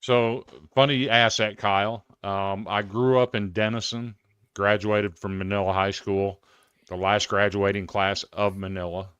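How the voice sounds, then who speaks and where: Sports fan guest, American man, fifties. Low, clean voice, casual storyteller. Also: fairly even intonation, a little slow, a bit bright, smooth, dry recording, lo-fi sound. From Iowa, United States. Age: 50s.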